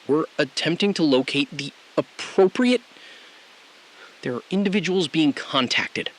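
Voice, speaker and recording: A man playing an uneasy, evasive ground controller in an audio drama, using halting, formal bureaucratic phrases. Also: on the high side, lively intonation, rough recording. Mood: nervous, evasive. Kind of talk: scripted audio drama acting.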